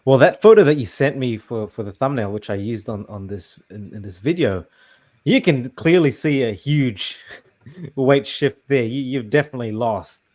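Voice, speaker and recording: Male host, middle pitch, halting and agreeable, interviewing over a video call. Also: animated, darkish tone. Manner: hesitant, many restarts and fillers, agreeable. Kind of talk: casual interview chat.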